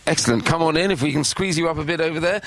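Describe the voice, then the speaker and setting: A man hosting a radio show, greeting a packed studio with brisk, repetitive questions and chuckling asides. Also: a little high, fairly bright tone. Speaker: radio host. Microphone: studio microphone with headphones.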